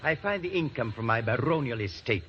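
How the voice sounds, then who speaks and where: An actor plays a courtly, pompous baron with formal, faintly hesitant phrasing and animated pitch. It is old radio drama with dull, slightly hissy sound. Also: male voice, brisk, natural voice. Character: haughty, courtly male European baron. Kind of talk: 1940s radio acting.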